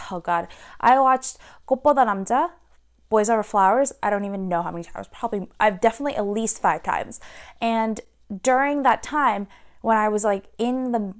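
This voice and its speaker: A young woman giving casual to-camera advice on learning a language, chatty and animated, playfully acting out her own reactions. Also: medium pitch, clear, resonant tone.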